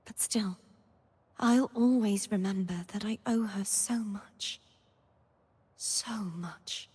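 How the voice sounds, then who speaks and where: Soft, husky, solemn young knightly maiden. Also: female voice, medium pitch, steady tone, slow pace. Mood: remorseful, tender. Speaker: game character voice actor. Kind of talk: scripted character monologue. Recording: long reverb on the voice.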